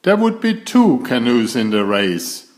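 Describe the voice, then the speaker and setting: A man reading a children's picture book aloud in a deliberate, expressive storytelling way. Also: medium pitch.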